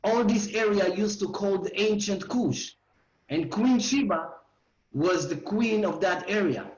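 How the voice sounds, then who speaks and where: High-voiced Israeli man lecturing online. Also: a little slow, a little mellow. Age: 40s. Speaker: educational tour guide giving a lecture. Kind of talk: online lecture, oral history. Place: living room at home. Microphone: video call. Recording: roomy, slightly dull video-call audio.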